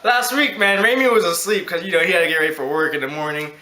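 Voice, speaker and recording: Young man, high and bright, relaxed profane storyteller; echoey home room. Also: fast. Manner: loose, profane, laughing storyteller. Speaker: co-host. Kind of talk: casual storytelling chat.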